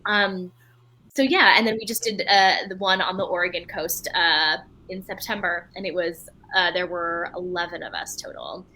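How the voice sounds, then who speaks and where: A woman from Belgium, a native French speaker, talks in an interview with a bright, clear and forward voice. She answers earnestly and candidly, reflecting as she speaks about her own experience. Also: lowish voice, normal speed, low-quality recording. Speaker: writer and retreat leader.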